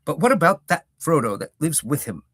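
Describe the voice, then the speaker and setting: A man's voice: fairly high pitch, big pitch swings, a little fast, fairly bright tone, breathy edge, no echo, studio quality, voice pushed up, exaggerated voice. A man voicing an old male hobbit gossip with a sceptical, grumbling manner.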